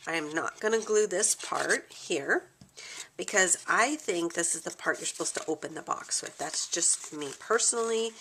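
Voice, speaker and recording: A woman with a stuffy cold talks through a craft project, thinking aloud and dropping into soft asides. Her voice sits low but has a crisp, bright edge. A faint hiss runs under the recording. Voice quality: slightly nasal. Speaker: craft video host. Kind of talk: casual craft tutorial.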